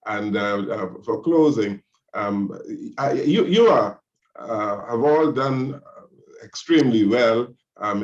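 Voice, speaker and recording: A man from the Bahamas moderates a panel in a measured, formal way, with many hesitant filler sounds. The sound is dull and lo-fi. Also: medium pitch. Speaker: moderator, ambassador. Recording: muffled lo-fi audio.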